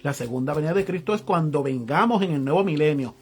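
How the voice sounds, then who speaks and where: A man's voice: a little high, expressive, quick pace, faint music behind. A man with a Puerto Rican accent preaching a sermon, reading Bible verses aloud and explaining them in casual everyday slang. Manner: reads verses aloud then explains them in casual local slang, greets online viewers. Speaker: evangelist preacher.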